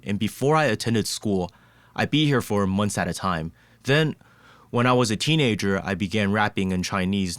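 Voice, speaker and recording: A young man hosting a podcast, reading a measured scripted introduction and then chatting with his guest. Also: lowish voice, clean tone, no echo. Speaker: podcast host. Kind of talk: scripted intro and casual interview.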